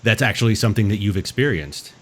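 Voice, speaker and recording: A man interviewing a guest, asking his questions in a measured, hesitant way with many fillers. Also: fairly low pitch, expressive, a bit bright.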